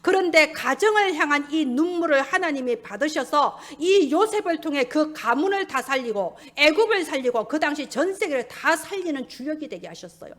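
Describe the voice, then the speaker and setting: Woman preacher, high voice, emphatic sermon delivery, urgent, rhetorical questions. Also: lively intonation, moderate pace, few pauses, a bit bright. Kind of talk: sermon, exhorting listeners with Bible stories.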